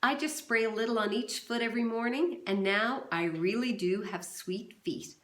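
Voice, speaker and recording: A woman's voice: moderate pace, fairly bright tone, lightly acted. A woman doing a chipper baseball star. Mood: upbeat pitch. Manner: upbeat, pitchman delivery. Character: cheerful male baseball star in a TV ad. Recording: roomy echo.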